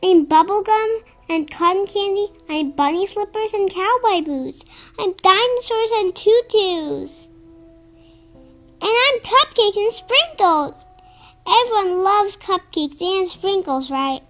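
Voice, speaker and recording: A child reading a children's picture book aloud in an expressive, somewhat halting way, with stumbles. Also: extremely high pitch, faint music behind. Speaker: storybook reader.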